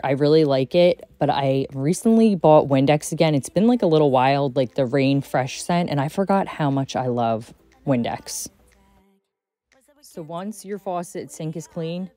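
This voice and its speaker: Chatty low-voiced woman vlogger. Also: dark tone. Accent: Texan. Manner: chatty and enthusiastic, says "like" often. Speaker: cleaning vlogger. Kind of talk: casual voice-over narration.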